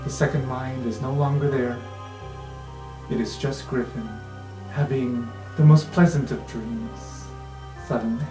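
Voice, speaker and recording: A man's voice: medium pitch, fairly even intonation, unhurried. A man narrating an improvised tabletop roleplaying game as game master. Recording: roomy sound with music underneath.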